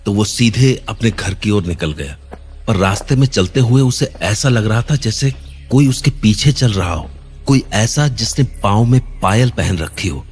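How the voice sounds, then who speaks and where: A man narrating a cartoon horror story in a suspenseful, measured storytelling manner. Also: fairly low pitch, animated, a little mellow, soft background music.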